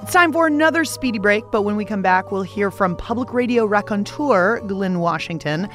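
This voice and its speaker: A woman's voice: medium pitch, animated, normal speed, faint music behind. A woman hosting a radio show, moving between casual interview chat and scripted links.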